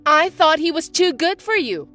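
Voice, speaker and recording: A woman voicing a bratty, spiteful young stepsister who talks in a shrill, mocking, bossy way. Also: very animated, moderate pace, pushed and bright, faint music behind, heavily acted. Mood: gloating. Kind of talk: voiced text-message drama.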